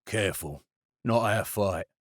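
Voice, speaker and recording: A man voicing a calm, steely male companion who speaks tersely and cautions, in an audiobook. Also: fairly low pitch, a little slow, no room sound, studio quality. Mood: guarded.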